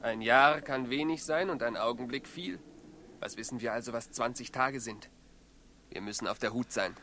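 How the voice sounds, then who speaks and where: An actor playing a cautious, philosophical travelling companion with measured delivery in a classic German radio play, on a slightly noisy recording. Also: male voice, mid-range voice, sharp tone, close to an everyday voice. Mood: wary.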